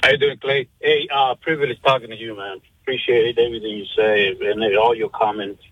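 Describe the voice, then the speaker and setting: A man's voice: on the low side, fairly even intonation, brisk, breathy edge, no echo. A man of fifty-five from Cuba calling in to a radio show, chatting in a friendly, rambling, casual way. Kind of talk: casual phone-in chat. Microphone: phone. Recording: dull, muffled phone line on radio.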